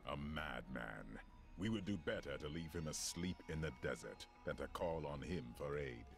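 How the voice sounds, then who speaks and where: A man's voice: very deep voice, lively intonation, moderate pace. A man voicing an ancient, stern archangel of justice in a fantasy video game, grave and formal in delivery. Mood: stern, warning. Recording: dramatic music behind.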